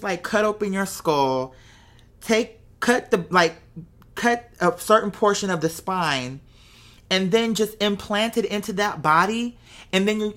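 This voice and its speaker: A woman hosting a show, talking casually and unscripted to her followers, musing out loud with rhetorical questions and checking in with the listener. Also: medium pitch. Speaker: host of a live show.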